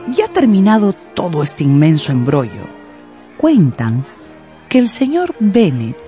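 A woman narrates a scripted radio drama as an expressive storyteller. Her mid-pitched voice moves in lively contours over faint music.

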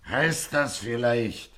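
Slow, menacing gangster boss. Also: male voice, medium pitch, pushed and bright. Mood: mocking. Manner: sardonic, chuckling, bullying. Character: menacing, mocking gangster boss, big man. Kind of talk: 1950s German radio play acting.

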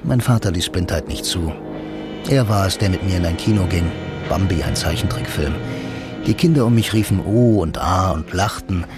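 Laconic blind man telling his noir story, low voice, dry deadpan, music under it. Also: normal speed. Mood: brooding, reflective. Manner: deadpan, dryly ironic. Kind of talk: scripted first-person radio play narration. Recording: music behind the voice.

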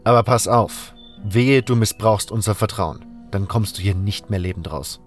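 A man's voice: fairly low pitch, normal speed, lightly acted. A man voicing a stern, suspicious gate guard in a fantasy audio drama, blunt and challenging, with music behind. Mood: stern, warning.

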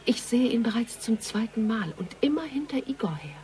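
An actress playing a sharp-minded, pensive woman investigator in a radio crime drama, speaking deliberately. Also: a little high, sing-song. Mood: intent. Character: brooding, sharp-minded female investigator on a case.

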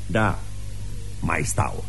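An actor playing a brash, boozy suitor in a radio play, cajoling and mocking with theatrical, drawn-out words. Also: male voice, a bit bright, faint music behind, close to an everyday voice. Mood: teasing.

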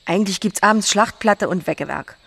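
A woman acting a blunt, earthy, solitary farmer in a naturalistic radio drama, with a husky voice. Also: medium pitch, a little fast, fairly bright tone. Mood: matter-of-fact.

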